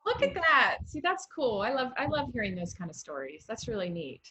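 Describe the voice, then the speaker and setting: A woman's voice: a little high, wildly swooping pitch, brisk, pushed and bright, lo-fi sound. A woman with an American accent, hosting a casual interview over a video call in a chatty, encouraging way. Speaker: interview host, writer.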